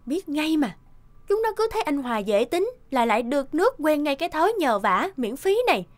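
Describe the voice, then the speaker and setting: A woman playing a sharp-tongued but caring young mother who minds a repair shop, in an expressive animated cartoon dub. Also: high voice, a little fast. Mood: annoyed. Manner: expressive, scolding or fussing. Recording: music behind the voice.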